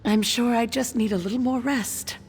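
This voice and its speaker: A woman playing a frail, ailing noblewoman in a video game, halting and weary as she pleads and hides her suffering. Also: on the high side, steady tone, a little mellow, slight background noise, close to an everyday voice. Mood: urgent, weary. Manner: halting, weary, breaking into whispers. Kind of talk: dramatic game dialogue.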